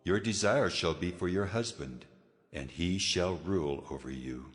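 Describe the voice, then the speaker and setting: God, very deep and commanding. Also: male voice, unhurried. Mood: solemn judgment. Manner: solemn, authoritative. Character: stern, commanding Lord God passing judgment. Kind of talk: dramatized audio Bible acting.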